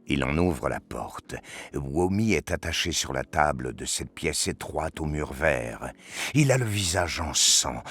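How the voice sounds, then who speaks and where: A man narrating a dark audio drama in a grave, deliberate, scripted style. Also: extremely low pitch. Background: music.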